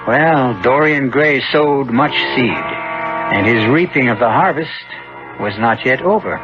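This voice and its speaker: A man narrates a vintage radio mystery over loud music. His pace is very slow and measured, with portentous rhetorical questions and a dramatic scripted style. The recording is dull with age. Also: medium pitch.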